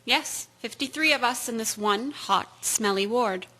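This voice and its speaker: An actress playing a wry, practical army nurse in a wartime radio drama. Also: female voice, on the high side, measured pace, bright, forceful tone. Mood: brisk, grumbling.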